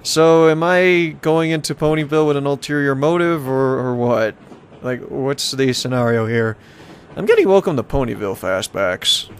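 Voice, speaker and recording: A man's voice: mid-range voice, moderate pace, a little hiss or hum. A man hosting a let's play, casually commenting and reading aloud in a playful, rambling way as he reacts to the game.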